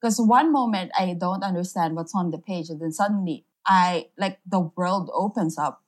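A woman from the Philippines, a writer whose first language is Filipino, speaking English in a relaxed interview with many pauses and soft laughs. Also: lowish voice. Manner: thoughtful, many ums, laughs often. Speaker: guest writer. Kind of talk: casual interview chat.

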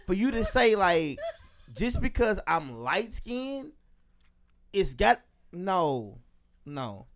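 A man telling a story in a casual group chat, loud and emphatic, repeating his points. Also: sits high, big pitch swings, takes it slowly, long pauses.

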